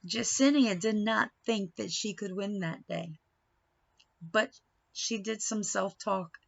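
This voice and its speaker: A woman reading a picture book aloud in an animated, dramatic manner, pausing for asides and questions to young listeners. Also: medium pitch, vocal fry, lo-fi sound. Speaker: read-aloud storyteller.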